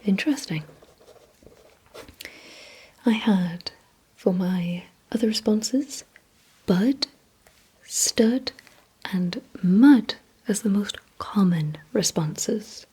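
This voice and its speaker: Breathy whispering ASMR woman, slow and deliberate. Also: mid-range voice. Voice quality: breathy, mostly unvoiced whisper. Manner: slow, deliberate, hushed delivery with short pauses. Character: calm, softly commanding female vampire examiner. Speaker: ASMR performer. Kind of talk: whispered ASMR roleplay, giving instructions and word games.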